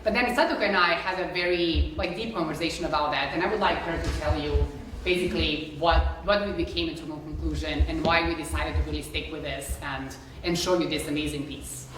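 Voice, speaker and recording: A woman's voice: low voice, fairly quick, hardly any pauses, far from the mic, noisy background. A young woman with a Serbian accent, chatting casually to a concert audience with many fillers, as a flutist introducing the program. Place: concert hall. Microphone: distant room mic. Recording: echoey hall recorded from afar.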